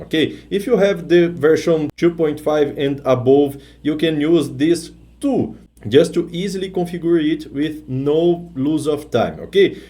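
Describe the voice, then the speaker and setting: Man, English, mellow voice, walks through a tech tutorial, keeps asking "okay?". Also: on the high side. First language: Portuguese. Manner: halting non-native English, tags sentences with "okay?". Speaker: software tutorial presenter. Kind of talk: screen-recorded tech tutorial.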